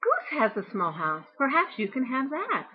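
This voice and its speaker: A woman's voice: high voice, wildly swooping pitch, normal speed, husky, phone-quality sound, voice pushed up, exaggerated voice. A woman voicing an eager, polite rabbit from a children's storybook, with exclaiming delivery. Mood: excited. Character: eager, polite blue rabbit looking for a home.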